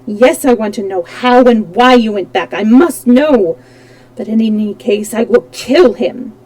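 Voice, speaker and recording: A woman reading aloud, voicing a desperate, jealous young man who shouts in fury. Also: a little high, a little slow, lightly acted, rough recording. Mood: furious. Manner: shouting, urgent.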